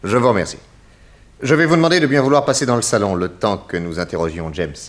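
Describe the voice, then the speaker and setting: Actor as lively, low-voiced, shrewd detective. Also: male voice, fast, a little hiss or hum, natural voice. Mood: businesslike, probing. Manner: inquisitive, wry, casually insistent. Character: shrewd, probing male police detective. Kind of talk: 1960s French radio drama acting.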